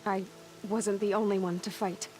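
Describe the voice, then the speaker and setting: An actress playing a determined, guarded young huntress in a video game cutscene. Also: female voice, medium pitch, little pitch movement, normal speed, music in the background, natural voice. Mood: subdued, sincere. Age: young adult.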